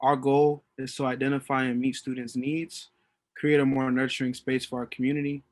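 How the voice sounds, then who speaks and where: A man's voice: mid-range voice, no room sound. A man in his twenties with an American accent, a university student reading a scripted presentation. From Washington, D.C., United States. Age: 20s.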